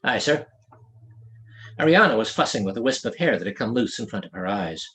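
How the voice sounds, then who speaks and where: Man reading a novel with lively pitch. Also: fast. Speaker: author reading his own novel. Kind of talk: scripted audiobook narration.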